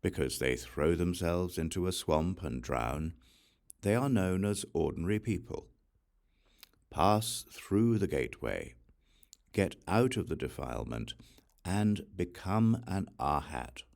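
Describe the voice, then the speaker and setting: A man with an extremely deep voice reads scripture slowly and solemnly. His tone has a creaky, gravelly grain, and he narrates in measured, scripted phrases. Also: expressive, darkish tone. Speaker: audiobook reader.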